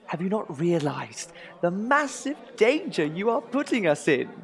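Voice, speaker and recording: An anxious Tudor courtier in a comic audio drama. He is exasperated and theatrical, stretching words slowly for emphasis, with a widely swooping pitch. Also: big pitch swings, gravelly, some chatter behind, heavily acted.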